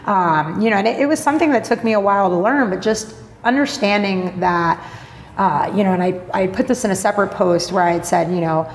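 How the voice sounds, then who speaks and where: A woman's voice: mid-range voice, a little fast. Blunt woman swearing in a roomy echo. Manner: blunt, swears freely, many fillers. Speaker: podcast guest, marketing blogger. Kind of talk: candid interview talk. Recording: roomy echo with faint noise.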